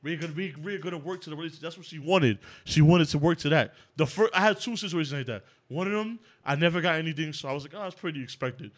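A man in his late twenties with a fairly high voice talks quickly in casual slang. He is insistent, jumping in over others as a podcast panelist would. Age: late 20s. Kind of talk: casual heated group chat.

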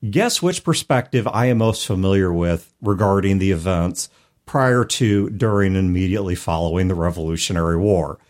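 A man's voice: sits low, normal speed, a little mellow. An American man co-hosting a discussion podcast, explaining his points in long, carefully qualified sentences. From United States. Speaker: podcast co-host. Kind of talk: conversational discussion of role-playing games.

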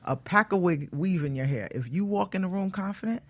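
A woman's voice: fairly low pitch, expressive, brisk, dry recording. A woman talking casually on a talk show in an emphatic, colloquial way, often checking that listeners follow. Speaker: guest hair stylist.

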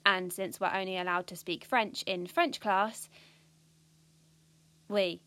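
Bookish British teenage girl, anxious yet self-assured; mid-pitched, precise, confiding audio drama monologue. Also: steady tone, slow pace, bright, forceful tone, a little hiss or hum. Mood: matter-of-fact, self-assured.

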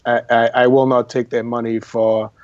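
A man's voice: mid-range voice, level tone, moderate pace, darkish tone, dry recording, dull audio. A man from Boston, an acting coach, talking earnestly as a guest in a casual interview and repeating words for emphasis. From Boston, Massachusetts.

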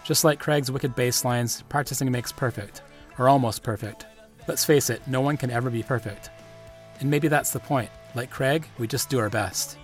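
A man with a middle-pitched voice hosts a podcast in a measured, earnest way. Faint music sometimes sits under his voice. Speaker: podcast host. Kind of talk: scripted podcast narration and interview questions.

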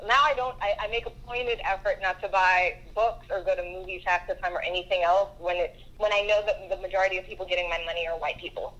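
A woman's voice: on the low side, steady tone, quick pace, hardly any pauses, fairly bright tone, dull audio. A woman whose voice sounds childlike, a chatty, self-deprecating guest talking casually over the phone. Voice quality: sounds young, like a kid. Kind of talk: casual chat. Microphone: phone call.

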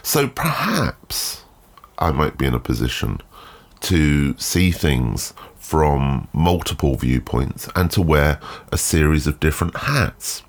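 A man hosting a solo photography podcast, sounding nasal and congested from a cold, speaking in a measured, thoughtful way with frequent ums. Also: extremely low pitch, big pitch swings. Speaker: podcast host. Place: garden shed.

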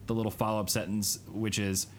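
A man's voice: fairly low pitch, little pitch movement, fast, a little hiss or hum. A man of thirty, co-hosting a podcast in casual chat and telling a rambling story that keeps correcting itself. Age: 30s. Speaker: podcast co-host.

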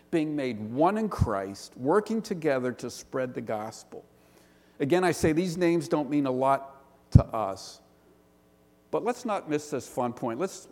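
Gravelly Western Pennsylvania pastor preaching. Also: male voice, mid-range voice, a little mellow. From Pittsburgh area, Western Pennsylvania. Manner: earnest preaching with rhetorical questions and slow emphatic lists. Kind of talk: sermon. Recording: long reverb of a large hall.

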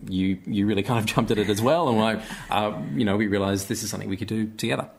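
A man's voice: on the low side, fast, creaky, darkish tone, a little hiss or hum. An Australian man speaking conversationally as an interview guest, earnest and hesitant as he searches for his words. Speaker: guest, theatre maker and actor.

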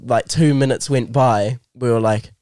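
A man's voice: medium pitch, fairly even intonation, a little slow, a little mellow, smooth, no room sound, clean studio sound. A young man co-hosting a casual podcast, telling stories in a laid-back way full of "like". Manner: laid-back, says "like" a lot. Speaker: co-host.

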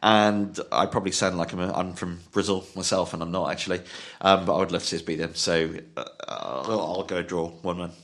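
A deep-voiced man talks football on a podcast panel. He speaks earnestly and hesitantly, filling his pauses with ums. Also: steady tone, a bit bright. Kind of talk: casual football podcast chat.

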